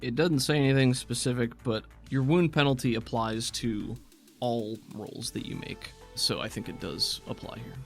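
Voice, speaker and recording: Creaky-voiced game master over faint music. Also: medium pitch, normal speed. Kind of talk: narrating and explaining a tabletop game.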